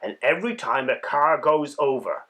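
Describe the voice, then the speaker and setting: A man reading stories aloud for children in an expressive, dramatic way, leaning on key words. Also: fairly high pitch, moderate pace. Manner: animated, dramatic delivery with emphasized words. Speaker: children's storybook reader and host. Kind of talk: expressive read-aloud storytelling for kids.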